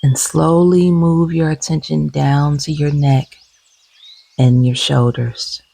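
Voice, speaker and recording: A woman leading a guided meditation gently and soothingly, with soft, breathy, husky tones and long unhurried pauses. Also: low-pitched, flat delivery.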